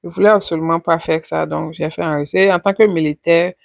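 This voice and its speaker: A woman's voice: on the low side, fairly even intonation, fairly quick, creaky, no echo, studio quality. A woman vlogger chatting casually over her travel footage, rambling and repeating her words.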